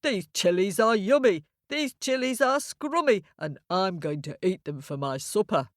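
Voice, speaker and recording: A woman's voice: medium pitch, lively intonation, a little slow, no echo, clean studio sound, lightly acted. A woman voicing a greedy, rude, stubborn male ram in a children's storybook, scoffing in singsong rhyme. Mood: scoffing, gloating. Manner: scoffing, singsong rhyming delivery.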